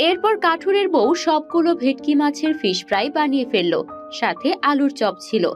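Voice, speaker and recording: A woman narrating a fairy-tale cartoon in plain, steady storytelling Bengali. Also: high-pitched, moderate pace, faint music behind.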